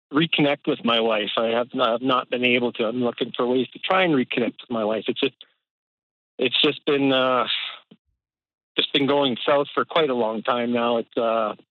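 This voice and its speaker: Middle-aged man, caller, halting speech, muffled phone-line sound. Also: mid-range voice, no room sound. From Canada. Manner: hesitant, many fillers and restarts, nervous laughs. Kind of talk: casual phone-in conversation. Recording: dull phone-call audio.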